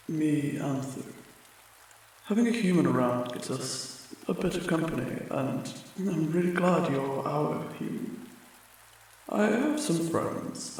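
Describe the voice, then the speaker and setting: A man playing a friendly, apologetic sleep paralysis demon in an ASMR comfort roleplay, speaking gently and haltingly, with reassurance. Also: a little high. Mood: chatty, fond. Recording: very echoey, distant, rain sounds behind. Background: rain.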